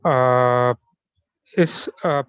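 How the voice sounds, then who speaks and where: A man's voice: mid-range voice, clean tone, no echo. Man asking a question, dry sound. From India. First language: Tamil. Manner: polite, deliberate, with fillers. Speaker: audience member asking a question.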